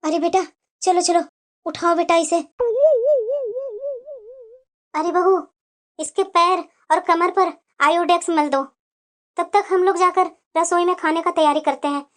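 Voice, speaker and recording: A woman's voice: extremely high pitch, fairly even intonation, moderate pace, voice pushed down. A woman voicing a fussy elderly mother-in-law who bosses the household in a cartoon. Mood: urgent. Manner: bossy, scolding. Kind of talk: cartoon voice acting.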